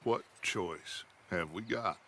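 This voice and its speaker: An actor playing a commanding, persuasive outlaw gang leader with a theatrical way of talking in a video game cutscene. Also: male voice, sits low, slow, sharp tone, exaggerated voice. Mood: impassioned. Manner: theatrical, oratorical.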